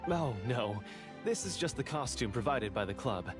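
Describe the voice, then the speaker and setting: A man's voice: mid-range voice, animated, moderate pace, lightly acted. A man voicing a friendly male script manager in a video game, polite and welcoming with a theatrical touch. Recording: game audio with music behind.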